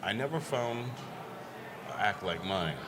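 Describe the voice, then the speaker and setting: A man's voice: animated, a little slow, background noise. A middle-aged man from Barbados, a comedian guest telling stories in casual interview chat. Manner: storytelling, acts out quoted voices in a high pitch.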